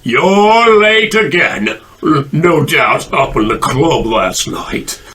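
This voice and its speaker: A man's voice: a little high, very animated, slow pace, pushed and bright, exaggerated voice. A man voicing a lewd older puppet doctor in an adult comedy, breezily inappropriate, theatrical and half-singing. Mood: on edge. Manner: theatrical, swooping, half-sung delivery. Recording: hip hop music behind voice.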